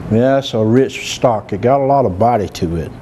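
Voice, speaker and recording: A soft-spoken man, chatting relaxed as he hosts a cooking demonstration in a large room. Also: medium pitch, normal speed, a little hiss or hum. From Georgia, US. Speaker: cooking show host, chef. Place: large room with a live audience.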